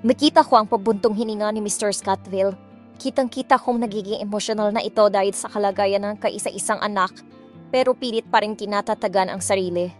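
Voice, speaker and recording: A woman's voice: medium pitch, steady tone, a little fast. A woman narrating a scripted first-person drama story, with music behind her. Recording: music bed under the voice.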